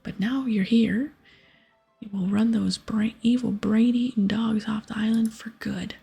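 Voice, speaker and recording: Woman putting on a gravelly, breathy pirate captain. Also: on the high side, steady tone, measured pace, exaggerated voice. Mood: gruff, grim. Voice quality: breathy, half-whispered, gravelly. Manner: archaic pirate talk with arrs and ayes. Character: gruff, dramatic old-salt male pirate brewery captain. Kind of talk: ASMR video game dialogue read-aloud. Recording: soft music behind.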